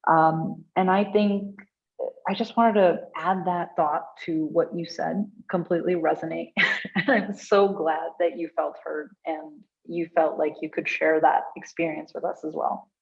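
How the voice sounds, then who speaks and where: A woman from India with an Indian accent, speaking conversationally and earnestly as she answers audience questions, with expressive, laughter-prone delivery. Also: deep voice, a little slow, creaky. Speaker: guest speaker at a design meetup. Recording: dull, lo-fi online call audio.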